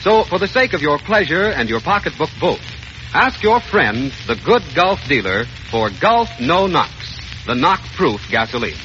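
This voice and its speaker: Lively vintage radio ad man. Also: fairly high pitch, unhurried, over loud music. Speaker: commercial announcer. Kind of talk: 1930s-40s radio ad read. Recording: muffled old radio recording, faint noise.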